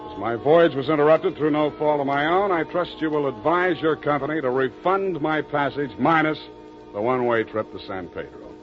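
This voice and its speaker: A man's voice: fairly high pitch, moderate pace, close to an everyday voice. A man playing a hardboiled, wry private detective with an American accent and a clipped, unsentimental delivery, in 1940s radio noir style. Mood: wry narration. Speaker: lead actor and narrator. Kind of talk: 1940s radio noir acting, first-person narration. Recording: dull, lo-fi 1940s radio recording with music.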